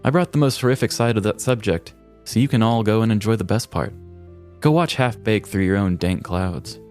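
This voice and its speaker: American guy doing a podcast, kinda low voice, wry storyteller, faint music behind. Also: brisk, darkish tone. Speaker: podcast co-host. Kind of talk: scripted story narration and casual podcast chat.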